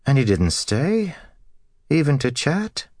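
A man's voice: lowish voice, lively intonation, measured pace, no echo, pitched above the performer's own voice. A kindly, grey-haired farm wife in an audiobook, acted out by a man. The voice is lifted well above his own and recorded dry. She is homely, practical and fussing, with an anxious edge. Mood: curious. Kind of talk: audiobook character dialogue.

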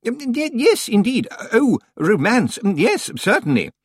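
Squeaky stammering librarian, fussy and nervous, husky. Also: male voice, sing-song, unhurried, no room sound, clean studio sound, full character voice. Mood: flustered.

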